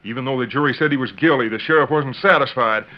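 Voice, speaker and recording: A man's voice: medium pitch, fast, natural voice. A man playing an earnest, folksy deputy in an old-time radio western, with colloquial, pleading talk. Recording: muffled vintage radio recording.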